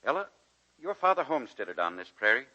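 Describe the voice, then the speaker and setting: A man's voice: mid-range voice, big pitch swings, brisk, sharp tone, dull audio. An actor playing an idealistic old male college president, earnest and folksy, in a 1940s radio drama. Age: older.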